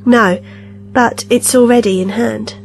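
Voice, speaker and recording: A knowing, calm ally, played by a woman in a science-fiction audio drama. Her voice is a little high, composed and reassuring. Faint music runs behind her matter-of-fact delivery. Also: unhurried, creaky, poor audio. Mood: confident. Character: calm, knowing female ally with a secret plan.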